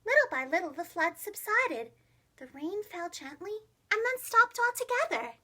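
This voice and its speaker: Very high-pitched woman reading a storybook. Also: moderate pace. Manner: expressive, simple phrasing for young children. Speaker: preschool teacher and storyteller. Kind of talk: children's storybook narration.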